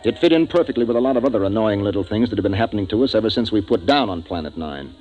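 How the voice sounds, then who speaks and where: Man playing an exasperated, gruff spaceship commander. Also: fairly low pitch, expressive, rapid-fire, a little mellow, close to an everyday voice, low-quality recording. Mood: wry narrating. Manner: barking, commanding, impatient. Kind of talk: 1950s radio acting with first-person narration. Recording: vintage 1950s radio, music behind.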